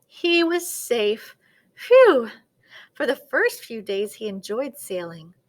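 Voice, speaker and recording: A woman reading a children's picture book aloud as a storyteller, with dramatic, deliberate expression. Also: fairly high pitch, big pitch swings.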